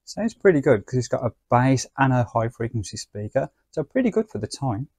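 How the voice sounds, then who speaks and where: A man's voice: mid-range voice, very animated, brisk, a little mellow, breathy edge, no echo. A man giving a casual, chatty spoken demonstration as a video presenter. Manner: chatty, informal. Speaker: presenter of a vintage radio video.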